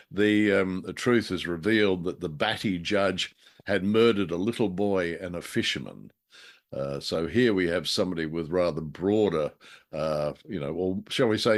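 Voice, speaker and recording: A middle-aged man co-hosting a show, chatting casually about stories in a wordy, wry, measured way. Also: deep voice. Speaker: co-host of an old-time radio drama show. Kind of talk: casual chat about a story.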